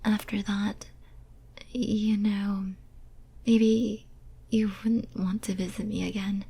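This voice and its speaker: A lonely, clingy female demon sealed in a cage, played by a woman for an ASMR roleplay. The voice is an extremely breathy, soft whisper with a dark, mellow tone. The delivery is slow, hesitant and tenderly pleading. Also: mid-range voice, level tone, heavily acted. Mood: anxious, wistful.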